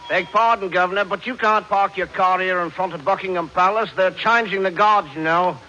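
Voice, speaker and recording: A man plays a polite, bemused bobby in an old-time radio comedy. His voice is high. The recording is muffled and full of noise. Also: fairly even intonation, a little fast, lightly acted. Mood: politely bemused. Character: polite, muddled British policeman on his beat.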